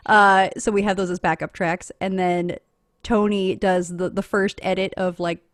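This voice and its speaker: A woman's voice: medium pitch, level tone, moderate pace, dry recording, studio quality. A woman podcaster explaining her process in a casual, chatty panel style with a wry, self-deprecating manner.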